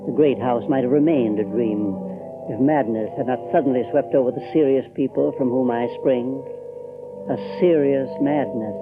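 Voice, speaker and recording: A man playing a brooding New Englander who narrates his grim ancestry in a deliberate, ominous dramatic style. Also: medium pitch, natural voice. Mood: ominous, wry. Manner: deliberate, repeating phrases, ominous. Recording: slightly muffled, music underneath.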